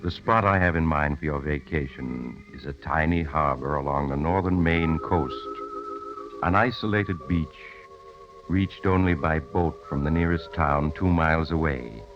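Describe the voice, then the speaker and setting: Brief: very low-voiced American mystery host, sly and sinister, unhurried, over loud music on a muffled 1940s radio recording. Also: male voice. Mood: sly menace. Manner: unhurried, ominously genial, teasing pauses. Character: sly, sinister storyteller teasing listeners with dark hints. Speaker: mystery show host and narrator.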